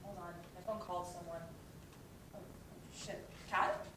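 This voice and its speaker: A woman's voice: on the low side, steady tone, normal speed, close to an everyday voice. A woman playing a breezy, affectionate older sister in a play reading, chatty and natural. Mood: flustered, apologetic. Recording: roomy, distant mic, noisy background.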